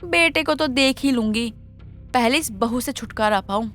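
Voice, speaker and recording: A woman's voice: on the high side, moderate pace, fairly bright tone, faint music behind, natural voice. A woman voicing a cruel, scheming older mother-in-law in a moral story, bossy and sarcastic as she scolds. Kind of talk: Hindi moral story voice acting.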